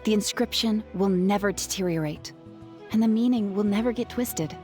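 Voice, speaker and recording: A woman's voice: medium pitch, normal speed, a little mellow, soft background music, lightly acted. A woman playing an upbeat, grateful young woman with a salesy flair in a video game. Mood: persuasive.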